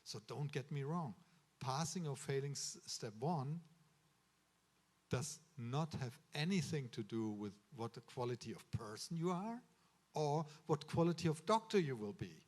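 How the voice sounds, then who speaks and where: A man with a German accent, a medical school official giving a ceremony speech to students in an earnest, advising way, often pausing on uh and restarting words. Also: medium pitch, lively intonation, darkish tone. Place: ceremony hall.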